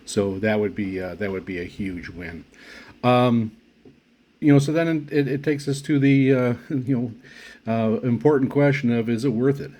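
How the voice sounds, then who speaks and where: A man giving a casual product review as a hobbyist, speaking haltingly with frequent pauses and restarts. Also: mid-range voice, fairly even intonation, warm tone. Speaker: radio hobbyist reviewing gear.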